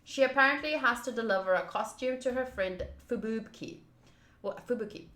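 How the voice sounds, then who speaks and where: Female streamer for visual novel playthrough: bright voice, casual reading and commentary, roomy echo. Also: on the high side, fairly quick. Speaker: game streamer. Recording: roomy echo, faint game music. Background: faint game music.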